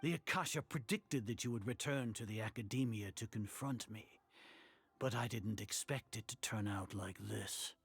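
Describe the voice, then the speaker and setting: A man playing a proud, scheming older sage in an anime game, with a husky voice and a haughty, formal delivery. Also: fairly low pitch, normal speed, full character voice. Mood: bitter. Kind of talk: dramatic anime game voice acting.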